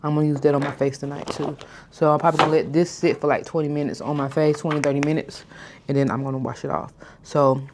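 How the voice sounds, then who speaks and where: A woman in her thirties chatting casually to her followers in a skincare vlog. She stutters at times, mispronounces some words, and slows her speech down on purpose. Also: sits low, a little mellow, slight background noise. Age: 30s. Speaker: vlogger. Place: hotel room, indoors.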